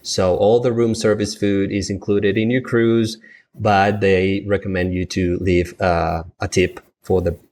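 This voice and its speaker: Colombian man, native Spanish, deep voice, halting casual chatter. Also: level tone, a little mellow. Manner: halting, many fillers and restarts. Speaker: travel agent, guest. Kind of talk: casual podcast chat.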